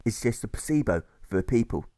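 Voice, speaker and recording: A man reading a scripted rhyming audiobook in rhythmic, measured phrases, landing on each rhyme. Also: medium pitch, animated, mellow, dry recording. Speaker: author reading own audiobook.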